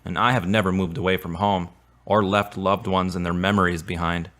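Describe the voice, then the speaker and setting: A man playing a concerned, devoted male friend with a gentle, earnest manner in a radio drama. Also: sits low, level tone, fairly quick.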